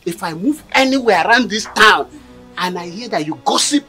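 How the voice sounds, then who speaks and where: An actor with a Nigerian accent playing an angry husband who threatens and scolds. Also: male voice, high voice, animated, moderate pace, pushed and bright, slightly rough, soft background music, heavily acted. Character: angry husband defending his wife.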